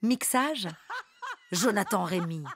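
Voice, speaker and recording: A woman's voice: medium pitch, expressive, slow pace, a crowd in the background. A woman announcing the credits of a radio fiction in a scripted read.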